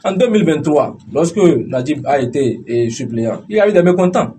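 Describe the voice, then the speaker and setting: A man's voice: fairly high pitch, lively intonation, fairly quick, mellow, a little hiss or hum. A man with a Beninese French accent in a heated political debate, speaking as a party activist and insistently repeating phrases to hold the floor.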